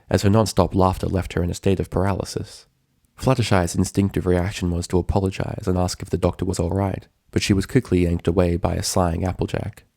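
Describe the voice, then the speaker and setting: Low, gravelly man narrating a story. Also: a little mellow, no room sound, clean studio sound. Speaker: fan fiction reader.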